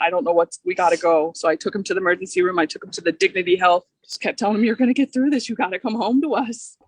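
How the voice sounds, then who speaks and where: A woman with an American accent and a husky voice, grieving and emotional as she gives a personal account in a news interview. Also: mid-range voice, dry recording. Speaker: bereaved partner interviewed for local news.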